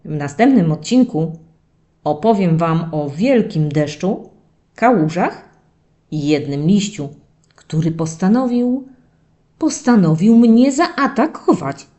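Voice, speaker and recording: A woman plays a playful young boy puppy narrating his diary for a children's audio story. The delivery swings widely in pitch and takes its time, with expressive, dramatic phrasing aimed at young listeners. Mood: playful, warm. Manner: expressive, drawn-out dramatic telling addressed to children. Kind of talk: animated first-person children's storytelling.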